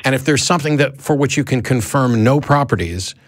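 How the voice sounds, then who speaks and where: A man's voice: mid-range voice, animated, fairly quick, dry recording. A man hosting a live call-in show, debating in a blunt, argumentative way and readily cutting in.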